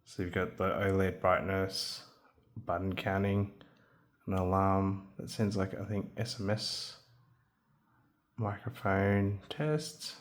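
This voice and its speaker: A man's voice: low-pitched, steady tone, slow. A man with a young son, showing a handheld radio kit in a casual, hesitant way with frequent ums and restarts. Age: adult, has a young son. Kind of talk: casual hands-on product demo.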